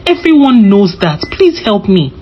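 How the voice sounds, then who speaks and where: A woman's voice: medium pitch, very animated, a little slow, breathy edge, lo-fi sound. A woman storyteller voicing a spoiled, scheming young beauty in a folktale, demanding and insistent. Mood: pleading.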